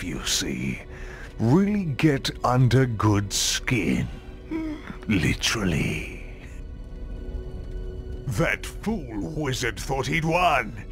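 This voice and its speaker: An actor playing a villainous old sorcerer tyrant in a video game, gloating, domineering and theatrically menacing. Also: male voice, mid-range voice, fairly bright tone, heavily acted. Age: older. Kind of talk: video game cutscene acting.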